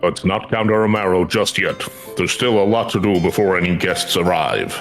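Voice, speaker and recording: A man's voice: sits low, lively intonation, moderate pace, faint music behind. A man playing a dignified older male businessman in a video game, speaking formally and eloquently. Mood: satisfied, cautious.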